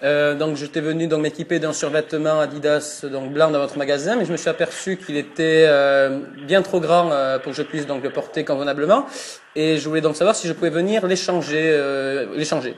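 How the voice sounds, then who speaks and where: Man, French accent, prank caller, high voice, mock-formal, deadpan, stifled laughter. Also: a little fast, a little hiss or hum. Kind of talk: prank phone call.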